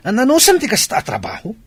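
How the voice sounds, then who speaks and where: An actor playing a brash, scornful younger brother out for easy money in an audio drama, with an Ilocano accent and a boastful, scoffing manner. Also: male voice, extremely high pitch, huge pitch swings, moderate pace, fairly bright tone, breathy edge, heavily acted. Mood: scornful, mocking. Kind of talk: dramatized radio-style acting.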